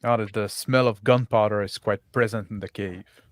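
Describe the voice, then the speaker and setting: A man narrating an improvised tabletop game as game master, piecing his descriptions together haltingly. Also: lowish voice, steady tone, dry recording.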